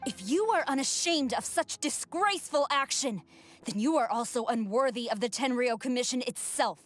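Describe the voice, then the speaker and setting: A woman's voice: sits high, moderate pace, faint music behind, lightly acted. An actress voicing a stern, proud female military officer in a video game, formal, clipped and authoritative. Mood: indignant.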